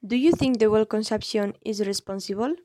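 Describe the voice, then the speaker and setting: Young woman, mellow voice. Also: mid-range voice, a little fast. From Spain. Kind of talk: reading prepared answers.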